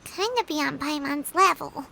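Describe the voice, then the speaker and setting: A woman voicing a small, childlike fairy companion in a video game, earnest, chatty and easily worried, with a squeaky cartoonish delivery. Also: animated, unhurried, background noise, exaggerated voice. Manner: squeaky, childlike, refers to self in third person. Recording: clear game music under the voice.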